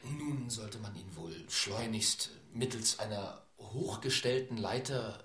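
A man's voice: on the low side, slow pace, fairly bright tone, echoey room, a little hiss or hum. A man acting an embarrassed, formally polite club delegate in an absurd radio play, hesitant and stiff. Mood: stiffly polite.

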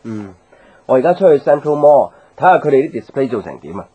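Radio drama colleague: a supportive, relaxed young businessman, slightly rough-edged voice, lively pitch, Hong Kong Cantonese. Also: fairly quick. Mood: casual, obliging. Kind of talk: radio drama dialogue, casual.

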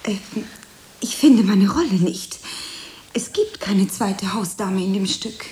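An actress playing an eager, earnest eighteen-year-old drama beginner, shy and breathy with a theatrical streak, in radio drama style. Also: female voice, medium pitch, animated, slow pace, dark tone, heavily acted. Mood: timid, puzzled. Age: young adult. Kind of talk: German radio drama acting. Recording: faint tape hiss.

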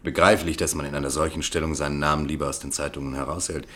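A man's voice: extremely low pitch, level tone, pushed and bright. An actor playing an eloquent, shrewd and courteous male defence lawyer in a German radio play, rhetorical and emphatic. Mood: measured, pensive. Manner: rhetorical, emphatic in court, dry and measured in private.

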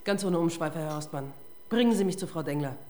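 A woman's voice: deep voice, lively intonation, fairly quick, darkish tone. An actress playing a sardonic, persistent police detective in a radio crime drama, dry and pointed in her questioning. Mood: dry, sarcastic.

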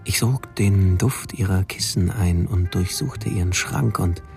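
An actor playing a troubled young drifter confessing his obsessions in a deliberate, brooding monologue for a radio drama. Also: low voice, creaky, darkish tone, faint music behind. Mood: brooding, confessional.

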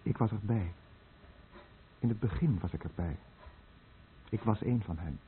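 A man's voice: lowish voice, a little slow, close to an everyday voice. An actor playing a dying, guilt-ridden fisherman who tells of his lost village in a brooding, bitter radio drama monologue. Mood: bitter remorse. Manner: brooding, bitter, confessional monologue addressed to the listener. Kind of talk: Dutch-language radio drama narration. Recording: muffled narrow-band old radio recording, faint noise.

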